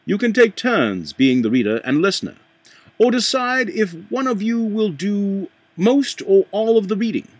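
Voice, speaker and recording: A man reading a book aloud in a halting way, stumbling and rereading lines, with a put-on accent. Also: high voice, big pitch swings, slightly rough, dry recording. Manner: puts on an accent while reading. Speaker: amateur reader.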